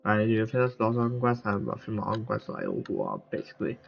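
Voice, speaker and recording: A man's voice: lowish voice, wildly swooping pitch, fast talker, vocal fry, dull audio, a little hiss or hum. A man giving casual gameplay commentary, rambling and thinking aloud as he plays. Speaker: gaming streamer. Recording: loud game music behind. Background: video game music.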